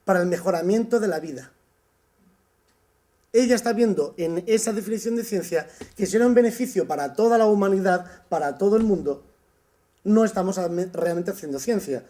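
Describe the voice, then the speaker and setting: Male university lecturer from Spain, high pitch, unhurried lecture with frequent hesitations. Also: dark tone. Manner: thoughtful, with frequent "eh" pauses and restarts. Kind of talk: summer course lecture.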